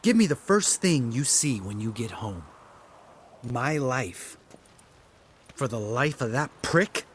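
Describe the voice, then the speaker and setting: An actor playing a younger monster hunter in a fantasy video game, cynical and bitter, with a blunt, sardonic manner. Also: male voice, mid-range voice, lively intonation, slow, long pauses, a little hiss or hum.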